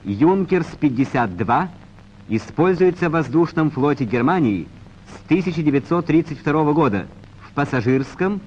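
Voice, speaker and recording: A man reading scripted narration in a formal, measured announcer style, as in a 1940s training film. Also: a little high, expressive, darkish tone, slight background noise. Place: voice-over recorded for a film. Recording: muffled vintage 1940s film soundtrack.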